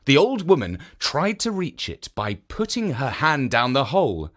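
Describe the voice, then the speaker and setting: A man reading a children's story as scripted narration, in an expressive, measured storytelling manner. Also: medium pitch, soft background music.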